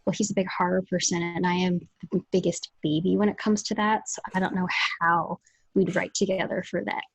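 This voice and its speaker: A woman's voice: lowish voice, flat delivery, fairly quick, creaky, slightly rough, rough recording. A woman co-hosting a casual podcast conversation over a video call. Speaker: podcast co-host. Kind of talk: casual chat.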